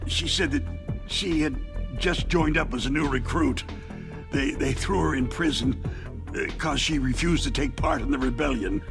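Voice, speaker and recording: Guy doing old beat-up american exec prisoner in a game, scared n stammering, creaky voice, music under it. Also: medium pitch, close to an everyday voice. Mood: weary, halting. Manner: halting, stammering, agitated outbursts. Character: battered older arms executive captive, frightened and rattled. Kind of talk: dramatic video game voice acting.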